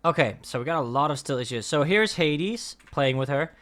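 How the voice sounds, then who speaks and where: A man's voice: fairly high pitch, lively intonation, moderate pace. A man whose first language is Danish, hosting a casual music reaction and talking in a rambling way with many fillers and restarts. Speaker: reaction video host.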